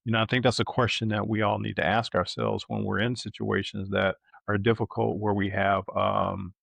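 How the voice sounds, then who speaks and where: A middle-aged man co-hosting a podcast in casual, reflective conversation, thoughtful and halting, often checking in with a questioning tag. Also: fairly low pitch, flat delivery, gravelly, fairly bright tone, no echo. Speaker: podcast co-host.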